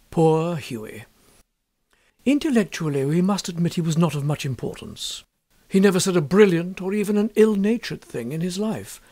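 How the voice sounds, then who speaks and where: A man reading a literary story as an audiobook narrator, in an expressive scripted style. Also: high-pitched.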